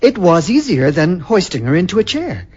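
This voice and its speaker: An actor playing a mild, hesitant husband turned unhinged strangler in a radio drama, with a husky, stammering voice. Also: male voice, high voice, big pitch swings, moderate pace, a little mellow, heavily acted. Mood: dreamy, unhinged. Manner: hesitant, stammering, repeating words. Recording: dull lo-fi radio recording with music.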